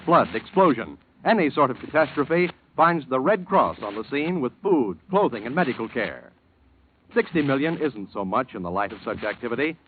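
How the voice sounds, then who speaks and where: An American man announcing on 1940s radio, reading a script in an earnest, emphatic manner. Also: a little high. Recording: tinny, faintly noisy old radio recording.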